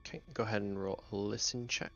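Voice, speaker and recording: Male game master, low register, improvised halting narration, music underneath, dulled audio. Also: flat delivery, vocal fry, a bit bright. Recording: muffled audio over background music.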